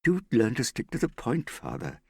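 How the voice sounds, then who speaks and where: A male narrator plays a worried young daughter, earnest and stubborn. The voice is husky and lively in pitch, with a serious, plain-spoken insistence suited to audiobook dialogue. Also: normal speed, no echo, studio quality. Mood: irritated.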